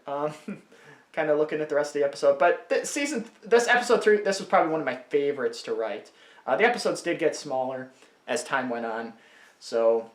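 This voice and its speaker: Excitable man rambling in a roomy space. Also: a little high. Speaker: creator commenting on own parody series. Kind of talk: casual off-the-cuff episode commentary. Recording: roomy sound over episode audio, music. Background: episode audio with music.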